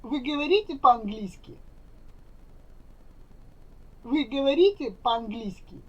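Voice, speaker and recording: A woman teaching Russian, saying each phrase slowly and carefully so learners can repeat it. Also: on the high side, expressive, a little mellow, breathy edge. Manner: careful, deliberate enunciation. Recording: dull lo-fi recording.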